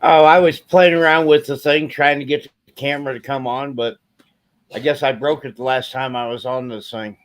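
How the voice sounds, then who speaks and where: A man's voice: mid-range voice, steady tone, moderate pace. A man calling into an online panel, easygoing and poking fun at himself. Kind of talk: casual chat.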